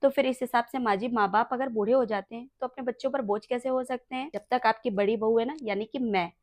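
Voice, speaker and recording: A woman voicing a gentle young daughter-in-law in a family drama skit, polite and soft-spoken. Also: a little high, steady tone, fast talker, dry recording, close to an everyday voice. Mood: tender, reasoning.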